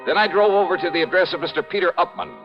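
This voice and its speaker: An American actor playing a wry, confident insurance investigator in a 1950s radio detective drama, with a dry delivery. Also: male voice, high-pitched, rapid-fire, close to an everyday voice. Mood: wry narration. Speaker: lead actor and narrator. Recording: muffled old radio recording, music behind.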